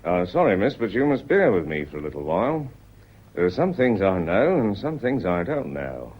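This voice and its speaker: An actor playing a courteous but relentless police inspector in a radio play, questioning in a deliberate, insistent way. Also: male voice, low voice, very animated, breathy edge, dull audio, full character voice. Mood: measured, probing.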